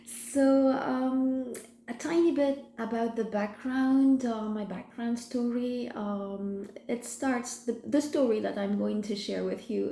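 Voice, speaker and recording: Woman, 30s, sore-throat croak, slow and hesitant, recorded in an echoey room. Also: medium pitch, warm tone, a little hiss or hum. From France. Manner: hesitant, many fillers and restarts. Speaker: vlogger sharing her recovery story. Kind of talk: personal testimony, casual talk to camera.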